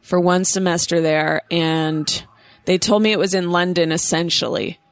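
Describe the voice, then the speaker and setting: A woman's voice: lowish voice, fairly even intonation, moderate pace, dry recording. An American woman in her thirties hosting a show and chatting in a playful, bubbly, goofy way. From United States. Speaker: host. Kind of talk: casual chat.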